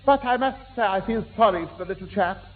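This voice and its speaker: A man as a fussy, high-voiced soft-hearted peacemaker. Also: fast, close to an everyday voice. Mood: sympathetic, soothing. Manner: hesitant, placating, genteel. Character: mild, fussy, soft-hearted man, placating family friend. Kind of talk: 1960s radio sitcom acting. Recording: tinny, echoing, noisy old radio broadcast.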